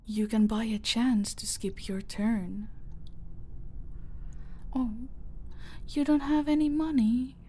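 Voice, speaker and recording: Woman playing slow, menacing female mafia boss. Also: fairly high pitch, fairly even intonation, dark tone. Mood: playful threat. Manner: mock-sweet, taunting, drawn-out, slipping into breathy whispers. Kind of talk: roleplay audio drama, slow intimate villain monologue.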